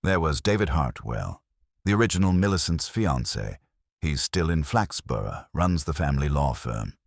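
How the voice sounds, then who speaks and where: Worried, protective husband played by a male reader, tight and concerned, deep, husky, mystery story. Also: very animated, normal speed, no room sound, studio quality, full character voice. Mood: uneasy. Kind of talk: scripted mystery story dialogue.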